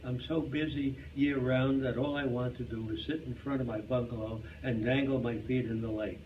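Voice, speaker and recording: A man's voice: mid-range voice, fairly even intonation, normal speed. A man giving a scripted humorous reading of a narrated story in live performance. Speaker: reader at a reader's theater club. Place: club hall, live performance. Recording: muffled, noisy, distant live hall recording.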